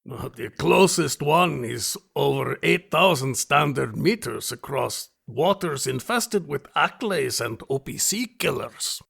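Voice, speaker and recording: An actor playing a genial, grandiose big-game hunter in an audio drama, with formal, courtly, emphatic speech and a gravelly, husky voice. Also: male voice, medium pitch, expressive, slow, hardly any pauses, fairly bright tone, heavily acted. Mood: measured, regretful.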